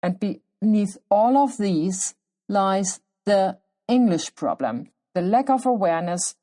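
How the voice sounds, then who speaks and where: A woman with a German accent, a native German speaker who works as a clinician, hosts her own podcast. Her voice sits in a middle pitch, and she narrates from a script at a measured, deliberate pace, pausing for emphasis. She sounds middle-aged. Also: lively intonation, warm tone. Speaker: podcast host.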